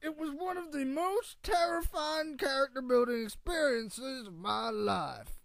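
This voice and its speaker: A crotchety, boastful ancient man of radio comedy. The voice is high and gravelly and swings widely as he rambles through crude folksy tales. The sound is dry and close. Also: a little slow, no room sound. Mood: matter-of-fact reminiscing. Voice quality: creaky, gravelly old-timer voice. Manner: rambling, cantankerous, folksy storytelling with profanity. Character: crotchety, crude, boastful world's oldest man, born 1824. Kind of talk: comedic radio theatre interview.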